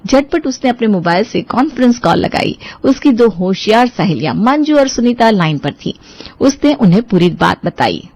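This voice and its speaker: A woman's voice: mid-range voice, big pitch swings, normal speed, darkish tone, no room sound. A woman reading out a scripted story as its narrator.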